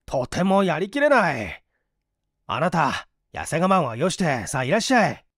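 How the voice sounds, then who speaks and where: A man's voice: fairly high pitch, very animated, moderate pace, a bit bright, no room sound, studio quality, heavily acted. A man voicing a hungry, penniless young man, weary but courteous, coaxing and grumbling politely in a dramatised story reading. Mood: coaxing, impatient.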